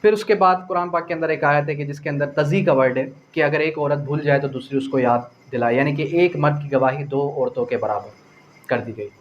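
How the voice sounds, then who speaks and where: Higher-voiced Pakistani man lecturing, noisy recording. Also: a little fast, lo-fi sound. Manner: didactic, repeats phrases and asks rhetorical questions; mixes in English legal terms. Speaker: law lecturer. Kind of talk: exam-prep lecture, explaining point by point.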